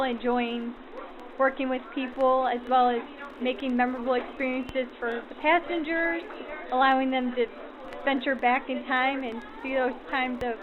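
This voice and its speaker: An effusive woman, a volunteer crew member, answering interview questions through a vintage radio filter. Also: sits high. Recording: tinny radio-like sound, crowd behind.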